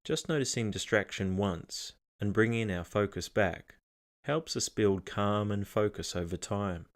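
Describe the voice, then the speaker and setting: A man narrating a scripted guided meditation, giving gentle instructions in a calm, measured manner. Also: lowish voice.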